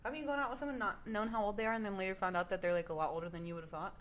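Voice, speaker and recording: A woman's voice: medium pitch, steady tone, racing, a bit bright. A woman in her twenties co-hosting a casual podcast, chatting in a playful, jokey way and swearing casually. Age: 20s. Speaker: podcast co-host. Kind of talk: casual chat. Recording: clear background noise.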